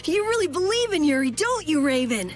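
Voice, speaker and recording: A sweet, girlish video game character. Her extremely high voice is lively and teasing, with faint music behind it. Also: fairly quick. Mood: relieved, teasing. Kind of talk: game voice acting.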